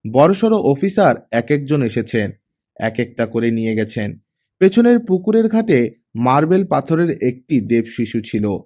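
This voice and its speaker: Guy narrator doing a relaxed know-it-all fella in a story, informal, lively pitch. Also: measured pace. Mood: explaining. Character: easygoing, knowledgeable man, teasing and solicitous.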